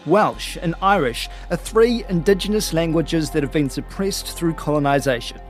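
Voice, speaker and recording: Man narrating a news feature, fairly high. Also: normal speed, faint music behind. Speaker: interviewer and narrator. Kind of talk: scripted news narration and interview questions.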